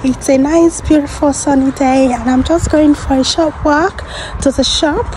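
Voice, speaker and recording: A woman in her thirties with a West African accent and a hoarse voice from a sore throat, chatting to viewers as she walks outdoors, filming on a handheld phone. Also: high voice, steady tone, normal speed, darkish tone, rough recording. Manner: chatty, addressing viewers while walking. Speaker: vlogger. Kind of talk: casual walking vlog. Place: outdoors on a sunny suburban street. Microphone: phone held at arm's length. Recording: outdoor street noise with cars.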